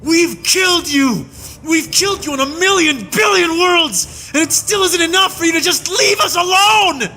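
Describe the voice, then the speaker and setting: A man playing an enraged human soldier, tied up and hurling a breathless, shouted tirade of hatred in an audiobook. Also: sits high, fairly even intonation, a little slow, creaky, hardly any pauses, faint music behind, voice pushed up, heavily acted. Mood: raging. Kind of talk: audiobook reading.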